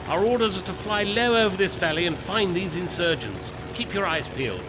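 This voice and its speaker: An actor playing a steady military plane captain giving brisk instructions in a radio play. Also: male voice, sits high. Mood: brisk, intent. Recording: noisy aircraft engine behind.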